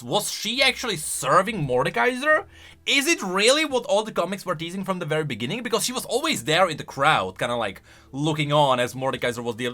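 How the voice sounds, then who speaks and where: A man giving casual, emphatic commentary as a gaming YouTuber, excitable in his delivery. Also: sits high. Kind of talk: casual lore commentary, reacting to voice lines.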